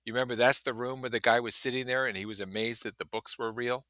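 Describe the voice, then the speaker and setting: A man's voice: mid-range voice, fairly even intonation, quick pace, sharp tone, no room sound, clean studio sound. A man reading a novel aloud expressively, with casual explanatory asides. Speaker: reader and commentator.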